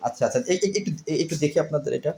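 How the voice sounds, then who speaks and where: Fast-talking Bangladeshi interviewer in an echoey room. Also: medium pitch, lively intonation, warm tone. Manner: repeats and sums up details to confirm. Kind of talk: question-and-answer product promo.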